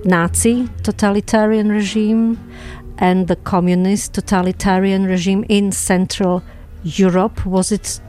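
A woman moderating a panel discussion, asking deliberate, measured questions. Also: mid-range voice, little pitch movement, mellow, soft background music.

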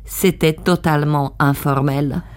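A woman reading a voice-over translation of an interview in a calm, even way. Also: low-pitched, measured pace.

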